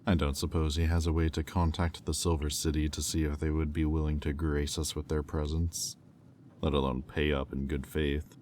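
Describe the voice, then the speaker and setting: A man playing a haughty, menacing demon in roleplay audio, speaking in a condescending, deliberate, taunting drawl. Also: extremely low pitch, little pitch movement, a little mellow, slight background noise. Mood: threatening, taunting. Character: haughty, menacing male demon buyer, coolly cruel and mocking. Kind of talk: slow, intimate roleplay audio acting.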